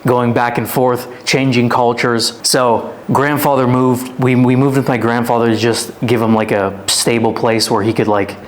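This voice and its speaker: A man's voice: mid-range voice, level tone, moderate pace, few pauses. Rambling young man telling his story. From Canada. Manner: rambling, filler-heavy storytelling with many "like"s. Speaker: guest, fitness influencer. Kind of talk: casual storytelling interview.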